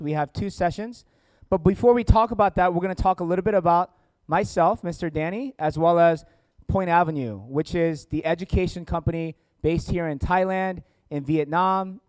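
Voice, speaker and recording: A man's voice: high-pitched, a little mellow, clear, resonant tone, no room sound. A man with an American accent presenting informally to an audience as a seminar host, jokey and engaging. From born in South Korea, grew up in Atlanta, United States. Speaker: seminar host, company founder and educator. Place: indoor seminar room with families and online viewers.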